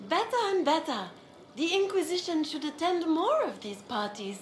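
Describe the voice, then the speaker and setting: A woman's voice: very high-pitched, big pitch swings, moderate pace, echoey room. A woman playing a coy, gossipy noblewoman in a fantasy video game, with a genteel, coquettish manner. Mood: intrigued. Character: coy, gossipy female noble party guest.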